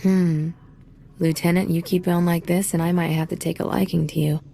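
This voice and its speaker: A woman's voice: lowish voice, flat delivery, normal speed, vocal fry, dark tone, a little hiss or hum. An actress playing a flirtatious, cocky female army sergeant in a video game, with a teasing, playful manner. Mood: flirty, teasing. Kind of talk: video game cutscene acting.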